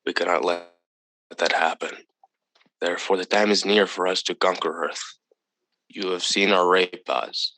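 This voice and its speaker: Superior alien visitor, really deep voice, calm n formal, dry radio drama sound. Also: male voice, no room sound, rough recording. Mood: grave, superior. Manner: formal, measured, condescending. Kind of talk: old-time radio drama acting.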